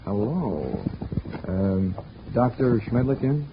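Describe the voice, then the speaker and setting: A man's voice: on the low side, very animated, takes it slowly, background noise, exaggerated voice. An actor playing a wisecracking, flirtatious male insurance investigator in a 1940s radio drama, with a wry, teasing delivery. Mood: flirty. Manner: wry, teasing, smooth-talking. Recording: muffled 1940s radio broadcast.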